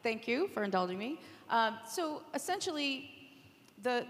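A woman with a fairly high voice explains her ideas on a conference panel. She poses rhetorical questions and often checks that people follow. The room gives her voice a long reverb. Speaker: media executive, panelist. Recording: echoey hall, long reverb.

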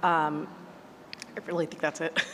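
A woman gives her view as a panelist. Her voice is slightly low, carried in a reverberant hall with faint background noise. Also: flat delivery, measured pace, pushed and bright. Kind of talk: panel discussion, brief answer.

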